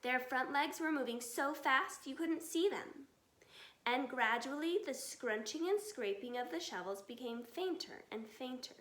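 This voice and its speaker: A woman narrating a children's story aloud in an expressive reading style. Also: high-pitched, measured pace. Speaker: storybook reader. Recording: echoey, reverberant room.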